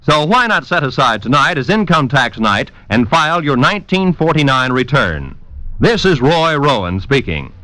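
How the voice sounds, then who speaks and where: A man's voice: medium pitch, very animated, normal speed, pushed and bright, no room sound, poor audio. A man announcing in polished, formal 1940s radio style, reading a script.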